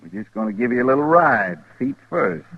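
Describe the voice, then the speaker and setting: A cold, menacing outlaw boss of the Old West with a rural American accent. His mid-pitched voice moves widely up and down, dry and close on a muffled vintage radio recording. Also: sing-song, fairly quick, breathy edge, dry recording. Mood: threatening. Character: cold, menacing male Western outlaw gang leader. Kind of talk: old-time radio western acting.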